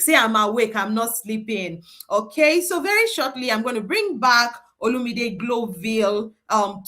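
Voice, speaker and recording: A woman's voice: a little high, bright, forceful tone. A middle-aged Nigerian woman hosting a live online boot camp as a career coach, talking animatedly and repeating her points with prayerful encouragement.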